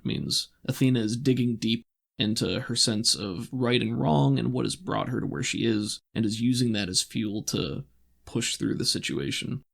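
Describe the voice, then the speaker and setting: A man with a gravelly voice, running a tabletop roleplaying game as game master, telling the story and explaining in a deliberate way. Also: mid-range voice, a bit bright. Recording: voice over background music.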